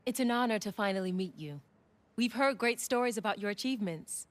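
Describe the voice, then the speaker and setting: A woman's voice: medium pitch, expressive, fairly quick, natural voice. A woman playing a polite, earnest young monk and youth leader in a video game. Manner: courteous, explanatory.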